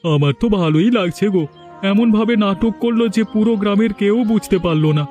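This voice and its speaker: A man's voice: high voice, brisk, dark tone, soft background music, natural voice. A man playing a smug, gossipy village man in a cartoon, with a knowing, sarcastic way of talking. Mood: mocking. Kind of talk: cartoon dialogue acting.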